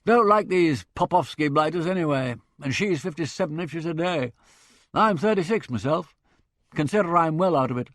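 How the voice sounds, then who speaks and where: A man voicing a blustering, boorish middle-aged country squire, hearty and loud in manner. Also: fairly high pitch, no room sound, clean studio sound, lightly acted.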